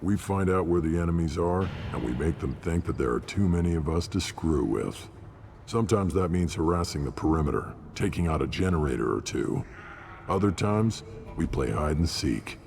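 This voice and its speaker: A gruff alien warrior commander from a video game, with a rock-bottom voice. He is blunt and battle-hardened, gravelly in tone, and heard in a long reverberant space with noise behind him. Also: low-pitched, expressive, moderate pace, a little mellow. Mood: gruff, matter-of-fact. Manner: blunt, matter-of-fact.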